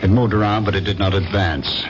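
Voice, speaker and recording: Deep-voiced brooding husband, played by a man in radio drama. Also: fairly even intonation, fairly quick, natural voice. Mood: brooding, tense. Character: brooding jealous husband narrating his own story. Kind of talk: 1970s radio drama acting, first-person narration. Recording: muffled old radio broadcast with music.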